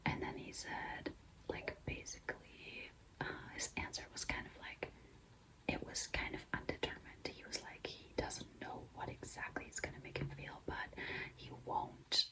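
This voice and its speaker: A young woman whispering in a breathy, mostly unvoiced voice, chatting confidingly and casually to viewers as a vlogger telling a personal story. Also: normal speed, poor audio. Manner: confiding, conversational, frequent "like" and "you know".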